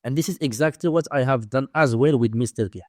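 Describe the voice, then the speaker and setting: A man's voice: medium pitch, darkish tone, no room sound. Moroccan-accented man in a dry-sounding interview. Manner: halting, repetitive non-native English phrasing. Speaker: interview guest, domain investing teacher. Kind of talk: conversational interview storytelling.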